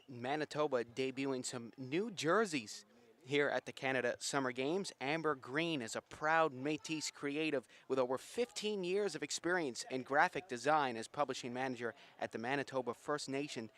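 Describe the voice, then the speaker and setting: A man with a medium-pitched voice works as co-commentator on a live sports broadcast. He fills in background, at times reading from prepared notes. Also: moderate pace, fairly bright tone.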